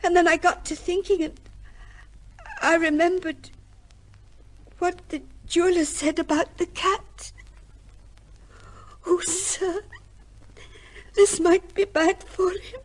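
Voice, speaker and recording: Timid young working girl, high voice, halting and slow, meek radio-drama witness. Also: steady tone. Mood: tearful. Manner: hesitant, halting, stammering. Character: timid, deferential young working woman giving testimony. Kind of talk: old-time radio drama acting.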